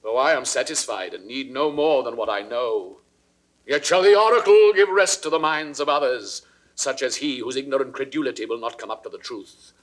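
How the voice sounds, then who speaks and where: A man's voice: mid-range voice, normal speed, natural voice, low-quality recording. An actor playing a jealous, imperious king in declamatory, haughty Shakespearean audio drama. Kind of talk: classical Shakespearean stage acting.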